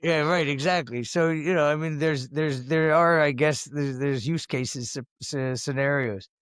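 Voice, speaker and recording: A man's voice: fairly high pitch, unhurried, a bit bright, smooth, no room sound, clean studio sound. A man co-hosting a casual talk podcast, rambling and often restarting and repeating his words. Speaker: podcast co-host. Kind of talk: casual chat.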